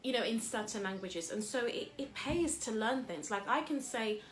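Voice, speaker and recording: A woman's voice: a little high, lively intonation, moderate pace, echoey room, rough recording. A woman from England hosting a casual motivational talk. She talks in a chatty, rambling way with lots of fillers. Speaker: host.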